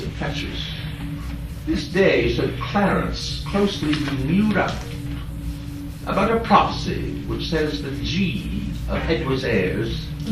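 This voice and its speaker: A man's voice: mid-range voice, voice pushed up. Man performing a echoey, theatrical Shakespearean scheming villain. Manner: theatrical, declamatory, slow drawn-out emphasis. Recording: distant, echoey live hall recording.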